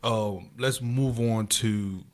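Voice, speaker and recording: A man's voice: fairly low pitch, slow. A man speaking as co-host of a podcast in a casual, chatty style.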